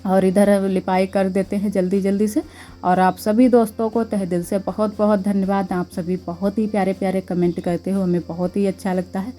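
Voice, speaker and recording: A young woman with a slightly hoarse voice from a sore throat narrates her daily vlog in a chatty, homely way, thanking her viewers as she goes. Her tone is mellow and warm, and faint background music plays beneath her. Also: medium pitch, level tone, moderate pace. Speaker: vlogger. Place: village home, household chores. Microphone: clip-on mic.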